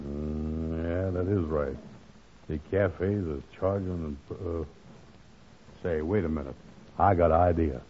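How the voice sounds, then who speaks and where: A man playing a gullible, soft-hearted, grumbling fellow in a 1940s radio comedy, with hesitant, folksy, ungrammatical speech. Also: a bass voice, slow, long pauses. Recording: muffled, noisy old radio broadcast.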